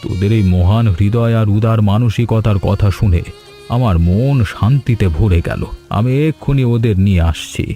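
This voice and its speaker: A man as a mellow, troubled village headman. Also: lowish voice, moderate pace, faint music behind, lightly acted. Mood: grateful. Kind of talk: scripted cartoon dialogue.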